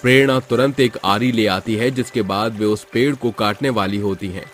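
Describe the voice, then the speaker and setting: A man's voice: fairly low pitch, normal speed, faint music behind. A man narrating a scripted moral story as storyteller.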